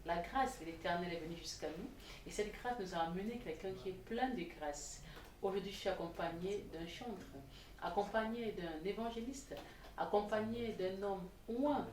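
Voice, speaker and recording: A woman hosting a TV interview, lively and quick to laugh. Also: mid-range voice. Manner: warm, lively, laughs easily. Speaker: TV host. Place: TV studio set. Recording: roomy, distant mic, faint noise.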